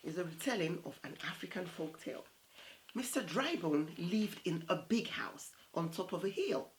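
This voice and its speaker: Woman, thirties, expressive storytime reader, lowish voice, very varied intonation, noticeable background noise. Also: poor audio. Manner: theatrical, unhurried delivery. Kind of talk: expressive picture book read-aloud. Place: small indoor set with plain backdrop.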